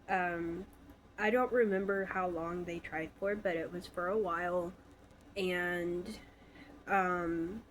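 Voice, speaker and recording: A woman's voice: fairly low pitch, a little hiss or hum, low-quality recording. A woman in her twenties from Alabama, stuffy from a cold, telling her own story in a casual, hesitant vlog with many fillers. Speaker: vlogger.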